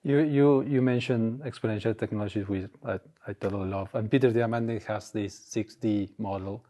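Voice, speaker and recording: Mellow-voiced man asking a hesitant question. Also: fairly low pitch, steady tone, a little fast. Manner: searching, hesitant, rephrases. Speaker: interviewer. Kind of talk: interview question.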